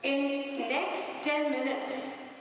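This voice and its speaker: A middle-aged woman from India speaks very slowly from a stage, weighing each phrase and pausing for effect. Her voice sits a little high. The sound carries a long, roomy echo from a large hall. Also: flat delivery. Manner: slow, deliberate, with pauses for effect. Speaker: invited speaker. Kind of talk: inspirational talk on stage. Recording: echoey hall, far from the mic.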